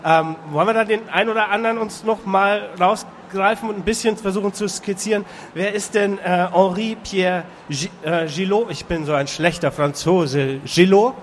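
A male interviewer with a slightly raised pitch asks his questions in a roundabout way, breaking them up with hesitations. He keeps a casual, easygoing tone. Faint noise hums in the background. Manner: halting questions with many filler sounds.

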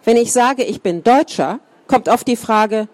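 A woman reading a first-person account aloud in a calm, measured way. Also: on the high side, dry recording. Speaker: reader.

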